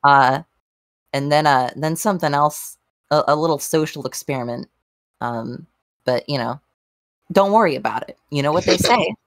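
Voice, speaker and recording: A woman chatting casually on a group podcast in a halting, deadpan, playfully cryptic way. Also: sits low, no echo. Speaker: podcast panelist.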